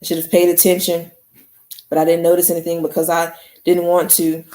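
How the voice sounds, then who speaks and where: A woman reading the lines of a remorseful young man who makes a halting, earnest apology to a friend, in a roomy recording. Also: fairly low pitch, completely flat delivery, warm tone, voice pushed down, lightly acted. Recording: roomy echo.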